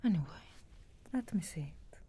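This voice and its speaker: A woman voicing a sarcastic, guardedly caring witch of the wilds in a slow, breathy whisper for an ASMR fantasy roleplay. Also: on the low side, expressive, dark tone, slight background noise, close to an everyday voice. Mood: teasing, reluctant care. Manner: slow, measured, archly formal phrasing.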